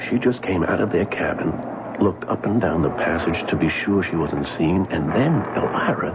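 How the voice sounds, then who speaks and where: A hearty, deep-voiced passenger. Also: male voice, animated, normal speed. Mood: intrigued. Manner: hearty, dismissive, easygoing. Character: genial, skeptical male ship passenger. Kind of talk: 1970s radio drama acting. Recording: dull old radio broadcast, hiss.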